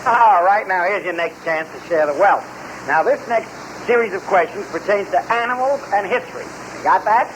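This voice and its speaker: A man's voice: high-pitched, moderate pace, background noise. A man hosting a quiz in vintage radio patter, on an old recording. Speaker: radio quiz show host.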